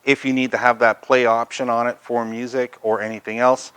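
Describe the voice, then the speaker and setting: A man's voice: medium pitch, little pitch movement, fairly quick, fairly bright tone, clean tone, dry recording. Man explaining products in a dry-sounding space. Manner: explanatory, conversational. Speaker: presenter of a product review. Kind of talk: unscripted product demonstration.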